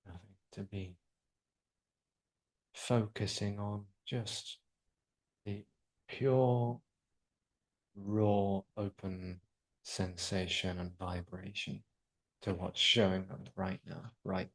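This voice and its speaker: Man, meditation guide, very slow pace, even unvarying tone, soothing, short phrases with pauses. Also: deep voice, gravelly, poor audio. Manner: soothing, unhurried, pausing between short phrases. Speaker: yoga nidra guide.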